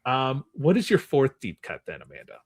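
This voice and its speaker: A man's voice: medium pitch, huge pitch swings, normal speed, slightly rough. A man hosting a game on a podcast in casual, conversational talk. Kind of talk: casual chat.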